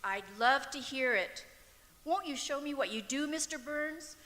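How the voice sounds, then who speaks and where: Woman playing a high, bright, starry-eyed girl. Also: animated, unhurried, full character voice. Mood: naively admiring. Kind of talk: old-time radio comedy acting on stage. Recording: echoey auditorium stage recording.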